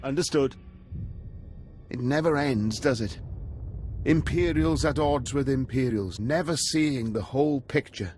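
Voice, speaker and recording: A man playing a weary, courteous but guarded imperial governor in a video game, speaking in a measured, formal way. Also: medium pitch, a little mellow, a little hiss or hum, natural voice. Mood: weary, resigned. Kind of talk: video game cutscene acting. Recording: music behind the voice.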